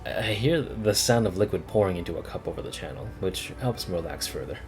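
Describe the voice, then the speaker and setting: A man's voice: low voice, lively intonation, a little fast, faint music behind. A man reading a game story aloud as a first-person narrator.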